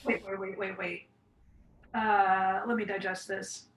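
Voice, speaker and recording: A woman's voice: medium pitch, flat delivery, measured pace, lightly acted. A woman acting an exasperated, bewildered adult daughter in a stage play, terse and insistent. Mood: stunned, reeling. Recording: roomy, distant microphone.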